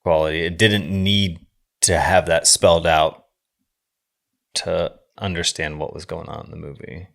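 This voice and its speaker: A deep male voice in a casual film discussion. He muses as a co-host, circling around his points as he works through an idea. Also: level tone, a little slow, creaky, a bit bright. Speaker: podcast co-host discussing films.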